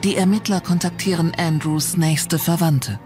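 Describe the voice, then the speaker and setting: A woman's voice: lowish voice, fairly even intonation, moderate pace. A woman reading scripted true crime documentary narration as the narrator. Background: prominent music.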